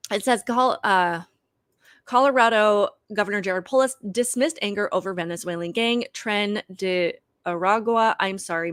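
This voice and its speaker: A woman's voice: mid-range voice, no echo. A woman hosting a political commentary show, reading news articles aloud and arguing her view in an earnest way, with frequent fillers.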